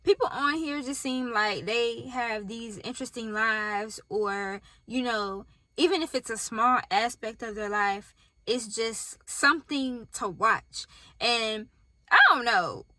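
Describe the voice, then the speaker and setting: Bright-voiced Southern woman vlogging in car. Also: fairly high pitch, slow pace, no room sound. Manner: candid, chatty, talks to viewers directly. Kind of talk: casual talk to camera. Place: parked car. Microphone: phone on tripod.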